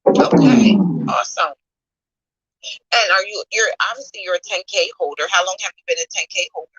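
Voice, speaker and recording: A woman's voice: lowish voice, animated, a little fast, a bit bright, breathy edge, highs cut off. A woman moderating a casual chat, cheerful and enthusiastic as she asks questions.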